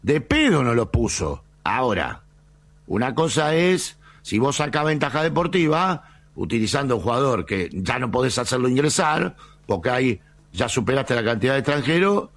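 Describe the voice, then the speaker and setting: Argentine male panelist, mid-pitched, very lively intonation, argumentative and methodical. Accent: Argentine Spanish. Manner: argumentative, measured, interrupts to make legal points. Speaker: sports talk panelist. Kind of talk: heated football debate.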